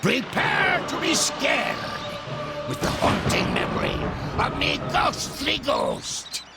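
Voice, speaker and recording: Gravelly, blustering ghost pirate captain. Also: male voice, very high-pitched, exaggerated voice. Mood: spooky, then dejected. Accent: stage pirate. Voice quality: gravelly, shouty. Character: blustering, self-pitying old male ghost pirate captain. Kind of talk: animated game cutscene acting.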